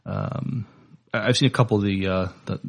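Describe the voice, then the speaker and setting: A man with a fairly low voice and a lot of vocal fry. He speaks as a casual podcast co-host, hesitant and halting as he works through his notes. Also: steady tone. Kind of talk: casual news chat, partly reading notes.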